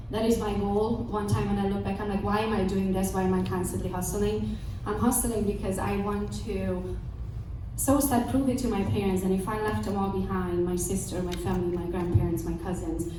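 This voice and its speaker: Woman, late 30s, from Romania, animated storyteller, echoey far-off room with steady noise, speaker keynote. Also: mid-range voice. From Romania, Transylvania. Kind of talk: motivational talk to an audience. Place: event room with audience.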